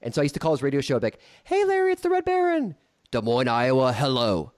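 Man, 30s, podcast host, teasing, storytelling, lively pitch. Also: quick pace. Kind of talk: casual podcast chat.

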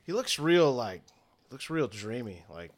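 A man's voice: mid-range voice, big pitch swings, unhurried. A man running a tabletop game as game master, narrating scenes in a loose, laughing way.

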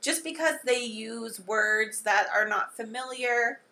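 A woman from Saskatchewan co-hosting a podcast in casual chat, upbeat and quick to agree. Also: on the high side, vocal fry, sharp tone. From Saskatchewan, Canada. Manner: upbeat, agreeable, frequent affirmations. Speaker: podcast co-host.

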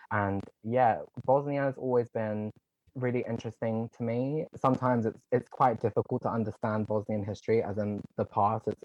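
A young man speaking quickly and earnestly as an interview guest, his low voice packed with casual "like" fillers. The sound is dry and close, but slightly dull and muffled, as though the recording lacks its top end. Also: a little mellow, no echo. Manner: earnest, talks in quick runs with many "like" fillers. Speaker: guest, online content creator.

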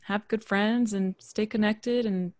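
A woman musician talks over a video call in a relaxed, reflective way, pausing with fillers and laughing softly. Her voice sits at a middle pitch. It sounds close and dry, with no room behind it. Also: no echo. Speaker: musician guest. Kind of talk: relaxed interview chat.

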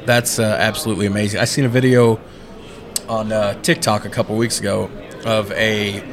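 A man's voice: lowish voice, flat delivery, fairly quick. A man in his thirties co-hosting a podcast, chatting in a friendly, rambling way and praising his guests at a busy convention hall. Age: 30s. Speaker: podcast co-host. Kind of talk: casual interview chat. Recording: crowded event hall. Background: crowd chatter.

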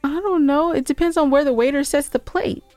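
A woman's voice: high-pitched, fairly quick, darkish tone, dry recording, soft background music. An American woman co-hosting a podcast in casual, unscripted banter, chatty and informal. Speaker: podcast co-host.

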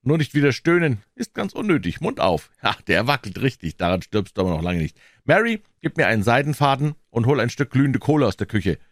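A man voicing a brisk, tender-hearted elderly aunt who scolds in exclamations in a storybook. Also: medium pitch, sing-song, no room sound, clean studio sound, pitched above the performer's own voice. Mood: brisk, scolding.

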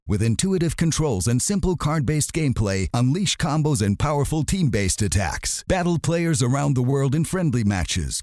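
A man's voice: mid-range voice, a little mellow, dry recording, studio quality. A man reading a scripted, upbeat sponsor advertisement. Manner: upbeat promotional. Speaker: ad announcer. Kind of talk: scripted ad read.

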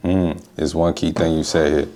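A man's voice: rumbling low, level tone, normal speed, mellow, slight background noise. A man hosting a podcast, speaking in casual slang and agreeing often in a loose, chatty way. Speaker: podcast host.

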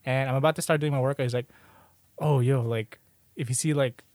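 A man's voice: medium pitch, steady tone, a little fast, a little mellow, dry recording. Man chatting casually, dry close mic. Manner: informal, swears freely. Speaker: podcast host. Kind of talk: casual banter and storytelling. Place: small room. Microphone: close podcast microphone.

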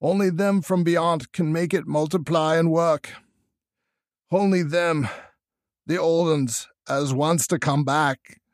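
Storybook role: dying backwoods grandfather, mad and urgent, strained high voice, gasping rustic speech. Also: fairly even intonation, slow, no room sound, studio quality. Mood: warning, strained. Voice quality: wheezing, gasping. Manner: rustic dialect, choked out in bursts.

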